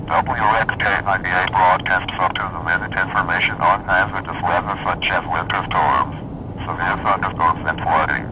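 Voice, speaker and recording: A man's voice: low voice, fairly even intonation, moderate pace, long pauses. A man reading a formal, scripted informational announcement as a weather radio announcer. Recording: dull, noisy weather radio broadcast.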